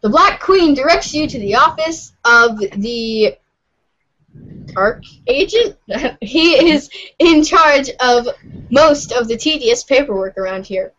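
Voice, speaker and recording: Female narrator, high voice, reading a script aloud on a dull, lo-fi recording. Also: expressive, slow pace, fairly bright tone. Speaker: narrator in amateur readers' theatre. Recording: dull, lo-fi audio.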